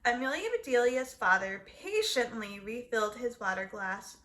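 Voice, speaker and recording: A woman storyteller reads a children's book aloud. Her voice is fairly high and very bright, and she places emphasis deliberately and expressively. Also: lively intonation.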